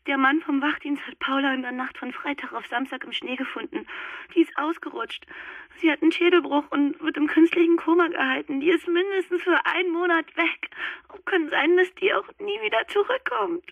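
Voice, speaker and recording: A woman's voice: sits high, steady tone, brisk, vocal fry, dry recording. An actress playing a shocked, agitated female colleague in a radio drama, distressed, through a telephone filter. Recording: thin, muffled telephone-like sound.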